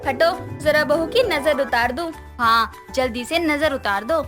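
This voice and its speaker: A woman's voice: high-pitched, unhurried, over music. A woman voicing a pushy, quarrelsome aunt-in-law in a moral story. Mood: concerned, urging. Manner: demanding, bickering. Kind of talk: Hindi animated moral story voice acting.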